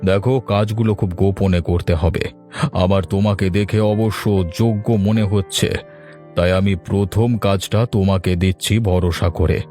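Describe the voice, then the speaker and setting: Guy doing a deep cartoon village villain, scheming and bossy, faint music behind. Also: steady tone, unhurried, warm tone. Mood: calculating. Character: scheming, power-hungry male village boss. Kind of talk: Bengali fairy-tale cartoon voice acting.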